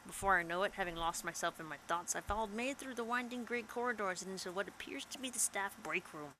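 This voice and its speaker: A woman's voice: medium pitch, fast, sharp tone, a little hiss or hum, rough recording. A woman gaming host reading visual novel narration aloud with dramatic first-person storytelling over game music.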